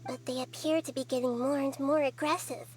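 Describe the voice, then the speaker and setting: A woman voicing a small, wise young goddess who speaks softly and explains things in a measured way. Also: sits high, fairly even intonation, lightly acted. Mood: calm. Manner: soft, measured, gently explaining. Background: game music.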